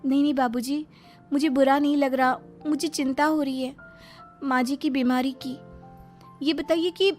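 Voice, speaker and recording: A woman's voice: high-pitched, fairly even intonation, moderate pace, a little mellow, natural voice. A woman voicing a caring, reasonable young daughter-in-law in a cartoon moral story, polite and explanatory. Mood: concerned. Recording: music behind the voice.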